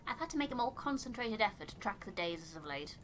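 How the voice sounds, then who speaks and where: A woman's voice: medium pitch, sing-song, fast, slight background noise, lightly acted. A woman voicing an anxious expedition wife in a game, formal and worried in manner.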